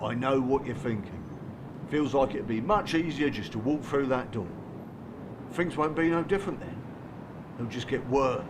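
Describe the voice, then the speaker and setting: An actor plays a reformed, streetwise man mentoring others in an audio drama. He has a working-class southern English accent and talks bluntly and colloquially, quick to bristle. His voice sits at an average pitch, over clear background noise. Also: close to an everyday voice. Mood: firm, urging. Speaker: support group mentor. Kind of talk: naturalistic audio drama acting.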